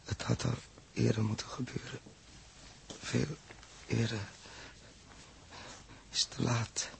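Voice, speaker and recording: An actor playing a gravely injured young spaceman in a 1960s radio drama, weak and halting, barely conscious and resigned. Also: medium pitch, fairly even intonation. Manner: halting, slow, broken sentences. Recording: noisy old 1960s radio recording.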